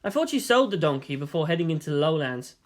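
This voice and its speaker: A man's voice: fairly high pitch, sing-song, quick pace. A man voicing a weary, patient teenage boy traveller with a dry, reassuring manner in a story reading.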